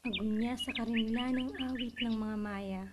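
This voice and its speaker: A woman reciting literary poetry in a solemn manner with measured phrasing. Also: on the high side, fairly even intonation, noisy background. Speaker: poetry reader.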